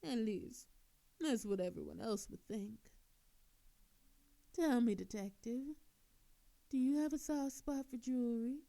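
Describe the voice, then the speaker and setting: Woman acting as female thief, audio drama, smug, flirtatious, teasing, mock-innocent, higher-pitched voice. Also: lively intonation, measured pace, creaky, long pauses, dark tone. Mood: taunting, flirtatious. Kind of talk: scripted audio roleplay monologue.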